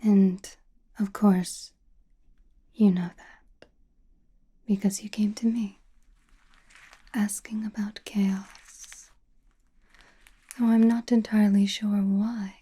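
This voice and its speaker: A woman playing an enigmatic, knowing sorceress in an ASMR roleplay, in a soft breathy whisper, speaking slowly and cryptically straight to the listener. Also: medium pitch, flat delivery. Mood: cryptic, probing. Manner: slow, deliberate, cryptic, addressing listener intimately.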